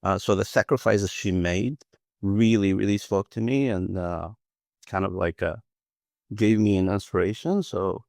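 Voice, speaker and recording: A middle-aged man from Iraq answers interview questions, often stalling on fillers and restarting his sentences. His voice sits low and has a gravelly fry. The recording is close and dry, with no room sound. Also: unhurried, darkish tone, no room sound. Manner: hesitant, many fillers and restarts. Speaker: guest, analytics professional.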